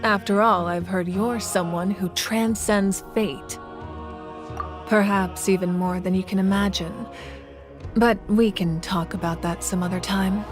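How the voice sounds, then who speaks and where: A woman's voice: mid-range voice, close to an everyday voice. Actress as a composed, commanding woman leader. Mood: friendly, wry. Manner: measured, assured, explanatory. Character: composed, authoritative female leader with a friendly, wry side. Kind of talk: video game character acting.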